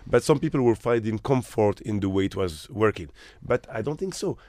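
A man's voice: on the low side, expressive, a little fast, warm tone. A man from Morocco who manages hotels, talking as an interview guest with emphatic rhetorical questions.

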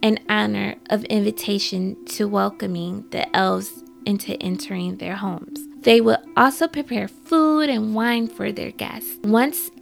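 A woman hosting a podcast, slightly hoarse from a cold, telling folklore in a chatty way with quick asides. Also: mid-range voice, faint music behind. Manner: chatty, reads facts then adds quick asides. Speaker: podcast host. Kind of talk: casual folklore storytelling.

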